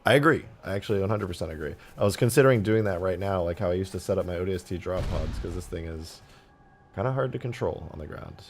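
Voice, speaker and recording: A man live-streaming while he mods a game, thinking aloud, reading chat and laughing loudly at times, his voice going a bit hoarse. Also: deep voice, expressive, fast, slight background noise. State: losing his voice, slightly hoarse. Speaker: modding streamer. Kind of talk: casual live-stream commentary.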